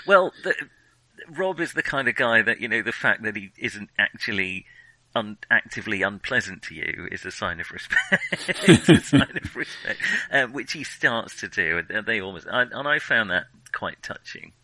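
A man co-hosting a film podcast, talking casually in an excitable, halting way as his thoughts tumble out. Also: lowish voice, no echo, low-quality recording.

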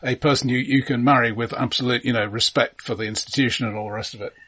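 A man's voice: mid-range voice, fairly even intonation, fast, a bit bright. A man co-hosting a casual film discussion in a wry, analytical manner. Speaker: film podcast host.

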